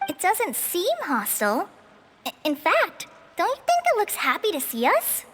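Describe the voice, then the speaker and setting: A woman voicing a gentle, earnest teenage girl in a video game, with a soft youthful voice and thoughtful delivery. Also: extremely high pitch, huge pitch swings, unhurried, slight background noise, heavily acted. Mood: reassuring. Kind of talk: video game cutscene voice acting.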